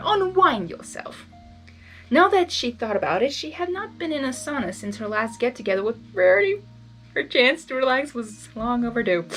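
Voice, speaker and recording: Casual woman reading a story aloud blind, kinda high voice, chatty asides. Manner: casual, chatty, laughs at the text. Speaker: channel host, reader.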